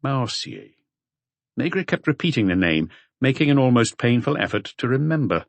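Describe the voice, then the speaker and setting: A man's voice: mid-range voice, sing-song, moderate pace, gravelly, dry recording. A man reading scripted crime fiction as an audiobook narrator.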